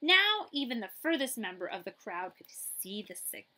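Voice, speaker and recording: A middle-aged woman reading a children's picture book aloud, with dramatic emphasis and pauses. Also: on the high side, big pitch swings, pushed and bright.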